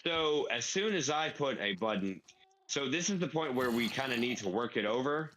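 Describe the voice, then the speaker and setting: Bright-voiced man thinking aloud. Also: medium pitch, lively intonation, moderate pace, a little hiss or hum, low-quality recording. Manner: thinks aloud, step-by-step explaining. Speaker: player explaining a farm build. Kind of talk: casual gaming tutorial.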